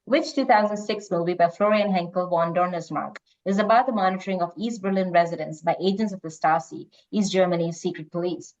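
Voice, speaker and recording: A woman's voice: fairly low pitch, brisk, few pauses, darkish tone. A woman hosting a quiz, reading out questions and chatting casually with the players. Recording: dull lo-fi online call audio.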